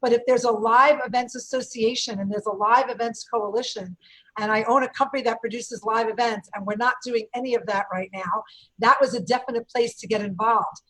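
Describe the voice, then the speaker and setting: Husky New York woman with a big voice. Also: on the high side, fairly even intonation, fairly quick, bright, forceful tone, low-quality recording. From Brooklyn, New York. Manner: big, emphatic voice. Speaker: event company owner. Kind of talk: panel discussion on a video call. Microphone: video call.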